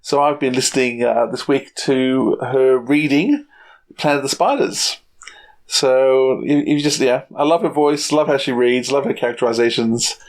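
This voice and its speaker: A man's voice: medium pitch, moderate pace. A middle-aged man co-hosting a podcast in casual, conversational talk. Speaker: podcast co-host.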